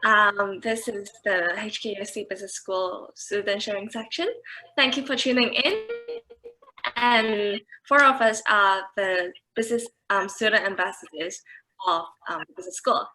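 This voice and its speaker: A woman's voice: medium pitch, normal speed, pushed and bright, poor audio. A young woman, a final-year student, moderating a student sharing session on a video call with many ums. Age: 20s. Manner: friendly, filler-heavy.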